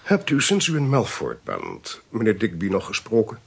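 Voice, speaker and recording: A man acting a sharp, assertive detective in a radio play, clipped and commanding as he reasons. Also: on the low side, wildly swooping pitch, normal speed, gravelly, husky, dry recording, full character voice. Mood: brisk, inquiring. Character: sharp, assertive male detective reasoning through a murder case. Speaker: actor in a radio drama.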